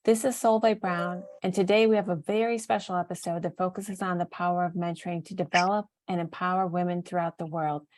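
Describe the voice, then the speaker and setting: Low-voiced woman hosting a podcast. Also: darkish tone, dry recording. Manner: reads a guest introduction, then asks questions. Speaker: podcast host. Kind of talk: interview podcast with scripted introduction.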